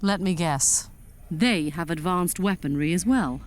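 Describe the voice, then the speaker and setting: A woman's voice: lowish voice, measured pace, a little hiss or hum, lightly acted. Imperious alien general, played by a woman. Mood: stern, impatient. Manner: clipped commanding, drily sarcastic. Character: commanding female alien general, imperious and impatient. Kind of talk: scripted sci-fi audio drama acting.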